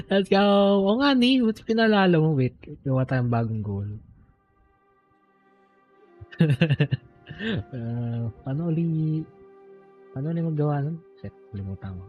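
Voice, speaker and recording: A man's voice: on the high side, animated, normal speed, muffled recording. A Filipino-accented man doing casual, slangy live game commentary, mixing English and Filipino. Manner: slangy, swearing. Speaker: game streamer. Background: game music.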